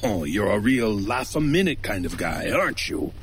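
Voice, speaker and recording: A man's voice: fairly low pitch, very animated, moderate pace, slight background noise. A man playing an exasperated, skeptical older antiques dealer in an old time radio drama, grumbling and dryly sarcastic. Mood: exasperated, wary. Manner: grumbling, dry sarcasm, weary storytelling.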